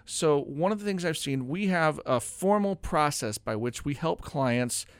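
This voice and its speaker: A man's voice: a little high, expressive, unhurried, clean tone, dry recording. A man hosting a talk radio show as a financial adviser, explaining things in an informal, folksy, conversational way. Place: radio studio.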